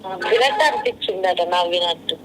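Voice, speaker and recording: A woman's voice: on the low side, fairly quick, very noisy. A woman with a Telangana Telugu accent chatting casually on a phone call. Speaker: guest's wife on a phone call. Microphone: phone call played in the room.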